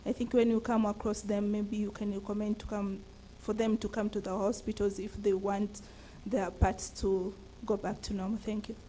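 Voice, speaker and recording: Flat, mellow-voiced woman speaking hesitantly. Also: mid-range voice, normal speed, a little hiss or hum, poor audio. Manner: hesitant, with many fillers. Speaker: hospital representative, audience participant. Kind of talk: comment from the floor. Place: conference hall.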